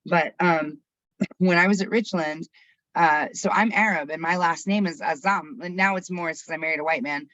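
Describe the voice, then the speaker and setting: A person of about forty from Texas, whose first language was Arabic, leading a workshop chat on a video call in an open, chatty way with quick asides. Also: mid-range voice, fairly bright tone, no room sound. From Texas, USA. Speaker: workshop facilitator. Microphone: computer mic on a video call. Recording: dull, dry video call audio.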